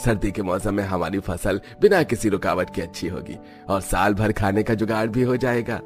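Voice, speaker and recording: A man's voice: lowish voice, moderate pace, faint music behind, natural voice. A man playing a poor, aggrieved village farmer or labourer in a cartoon story, complaining in plain rustic speech. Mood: hopeful.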